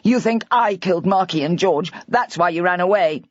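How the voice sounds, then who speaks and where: A despairing older husband who rambles in a near-hysterical rush, as a woman narrator puts him on. The voice stays close to her own husky tone, on a dull, dry recording. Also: lowish voice, moderate pace, no room sound, close to an everyday voice. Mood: distraught.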